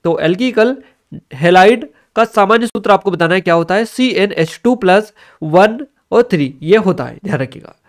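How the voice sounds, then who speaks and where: A man's voice: sits high, expressive, moderate pace. A man giving an explanatory exam-review lecture as a teacher, instructive and repeating key points for emphasis.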